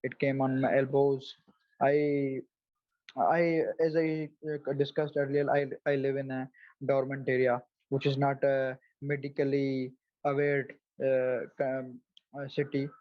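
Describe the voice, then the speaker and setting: A man in his twenties from Pakistan, speaking as a guest on a video call, halting, with many fillers and restarts. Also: medium pitch, flat delivery, no room sound, muffled recording. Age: 20s. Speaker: guest, psoriasis advocate. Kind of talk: casual interview answers.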